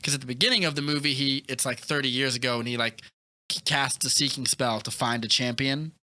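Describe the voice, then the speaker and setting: A man chatting casually and enthusiastically about films as a podcast co-host, rambling excitedly with lots of "like". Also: mid-range voice, a little fast. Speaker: movie review podcast co-host. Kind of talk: casual enthusiastic film chat.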